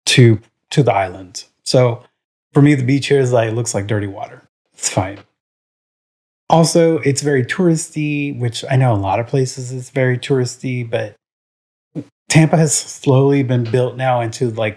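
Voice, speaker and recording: A man from Puerto Rico co-hosting a laid-back podcast. He meanders through his thoughts with plenty of "um" and "like", yet stays blunt and opinionated, pitched at a middle level for a man. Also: mellow. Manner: rambling, full of "um" and "like"; frank and opinionated. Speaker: podcast co-host. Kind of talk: casual podcast chat.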